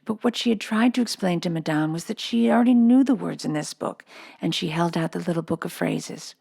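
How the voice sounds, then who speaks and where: A woman's voice: on the low side, creaky, darkish tone. A woman reading a children's novel aloud as scripted storybook narration. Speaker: storybook reader.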